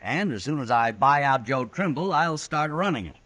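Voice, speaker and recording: A man's voice: mid-range voice, animated, moderate pace, a bit bright, natural voice. Man as a glib western businessman, swooping voice. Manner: affable, glib, overly polite. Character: smooth, genial, scheming frontier businessman villain. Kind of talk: 1950s radio western acting.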